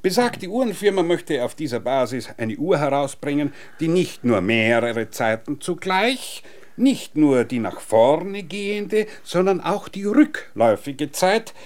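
An actor playing an eccentric, pompous scientist in an absurd radio drama, lecturing theatrically. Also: male voice, a little high, very animated, unhurried, a little mellow. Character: eccentric, naked time-theorist scientist back from apparent death.

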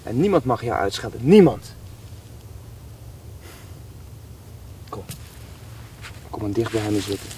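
A man's voice: lowish voice, very animated, normal speed, dark tone, slightly rough, distant mic, heavily acted. An actor playing an idealistic seventeen-year-old rebel boy in love, speaking earnestly and fervently in radio drama style. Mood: tender, protective.

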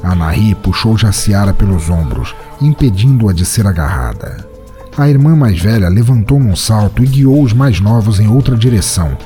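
A man's voice: fairly low pitch, expressive, normal speed. A man giving dramatic, suspenseful audiobook narration. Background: soft background music.

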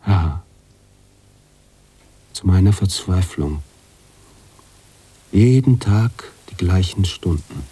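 A man playing a brooding, contemplative narrator-author in a literary radio drama, speaking deliberately with pauses between phrases. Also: on the low side, warm tone, breathy edge. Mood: grave, weary. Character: brooding male narrator-author, contemplative, troubled by his heroine.

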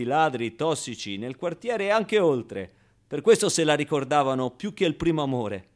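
A man performing a theatrical first-person monologue as an actor, in an expressive, colloquial storytelling delivery. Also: a little high.

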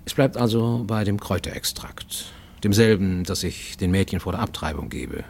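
An actor in a German radio play voicing a haunted middle-aged Japanese fugitive, formal and intense, sometimes dropping to a near whisper. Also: male voice, low-pitched, animated, normal speed, vocal fry, a little mellow, husky, heavily acted. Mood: matter-of-fact.

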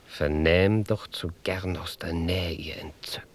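An actor playing a lovestruck, courtly knight in a rhymed fairy-tale drama, speaking in formal verse. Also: male voice, sits low, fairly even intonation, unhurried. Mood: enchanted. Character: lovestruck noble knight, captive turned gardener, courtly and eager. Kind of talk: rhymed theatrical musical fairy-tale acting.

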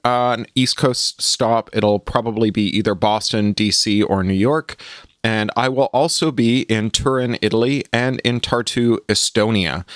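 A man with a lowish voice speaks as a solo podcast host, articulate and a little wry. The sound is close and dry, with very little room in it. His delivery sits between chatty updates and reading his own column aloud. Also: a bit bright, no echo.

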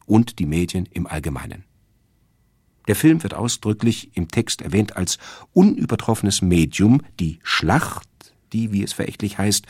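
Man, very low voice, rough husky tone, scripted cultural radio commentary, emphatic and erudite, measured delivery. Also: darkish tone, no room sound, clean studio sound. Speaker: radio presenter introducing a radio play. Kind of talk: scripted literary radio essay.

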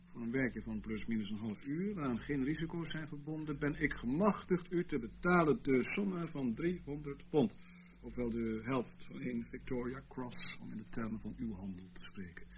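A man's voice: medium pitch, a bit bright, slight background noise, close to an everyday voice. A man acting in a radio play as a wry, officious senior civil servant in intelligence, urbane and mock-regretful. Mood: firm, officious.

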